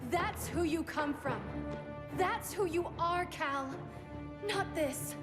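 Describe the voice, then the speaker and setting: High-voiced, earnest young superheroine. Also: female voice, takes it slowly. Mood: hurt, defiant. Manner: earnest, impassioned. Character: earnest, defiant young superheroine pleading with her cousin. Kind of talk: dramatic video game voice acting. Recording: loud cinematic music behind.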